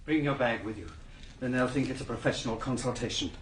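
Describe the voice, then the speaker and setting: An actor playing an anxious, secretive gentleman in a radio drama, confiding urgently in a rough, husky voice. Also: mid-range voice, fast, background noise, lightly acted. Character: anxious, secretive middle-aged wealthy gentleman.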